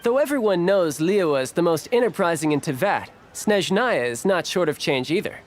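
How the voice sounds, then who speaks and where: An actor playing a playful young foreign envoy with hidden cunning in a fantasy game, smooth and teasing. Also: male voice, sits high, lively intonation, normal speed, over music. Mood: confiding, sly. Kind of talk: fantasy video game voice acting.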